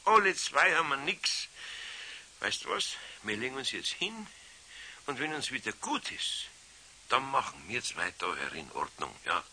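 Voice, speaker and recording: A man's voice: mid-range voice, normal speed. An actor playing a good-natured older Bavarian master craftsman in a children's radio play, scolding in a kindly, exasperated way. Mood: soothing, tired. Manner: exasperated but kindly, expressive. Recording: faintly hissy 1970s radio play recording.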